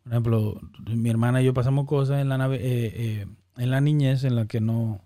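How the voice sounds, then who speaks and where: A man's voice: medium pitch, fairly even intonation, normal speed, warm tone. A man, joking and slangy in a casual chat, often cutting in with questions.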